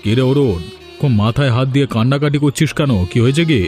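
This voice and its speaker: A man voicing a well-off village gentleman in a cartoon, matter-of-fact and condescending, as he talks down to a labourer. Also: mid-range voice, fast talker, soft background music, lightly acted. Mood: condescending, calm. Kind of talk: Bengali cartoon voice acting.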